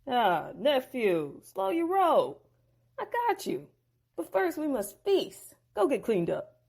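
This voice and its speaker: A sly, scheming older uncle, voiced by a woman in a storybook audio drama. He hums and drawls his way through bargains in easy slang. The voice sits high and swoops widely, unhurried. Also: wildly swooping pitch, darkish tone, full character voice. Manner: smooth-talking, slangy, drawn-out hums.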